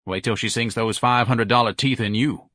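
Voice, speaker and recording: Weary middle-aged husband, played by a man, mid pitch, husky, sarcastic grumbling for audiobook fiction. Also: fairly even intonation, moderate pace, fairly bright tone, no echo, close to an everyday voice. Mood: dry sarcasm. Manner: dry, grumbling.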